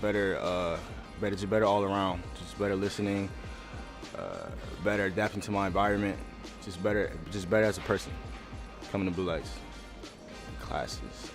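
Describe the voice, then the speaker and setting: A young man from Connecticut, a college freshman, answering interview questions in a laid-back way, often repeating himself. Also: lowish voice. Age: young adult. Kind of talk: recorded player interview. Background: music bed.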